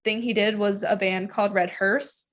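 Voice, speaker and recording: A woman's voice: mid-range voice, steady tone, normal speed, fairly bright tone. A woman co-hosting a podcast in casual conversation, informal and often rephrasing as she goes. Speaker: podcast co-host. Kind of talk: casual group chat.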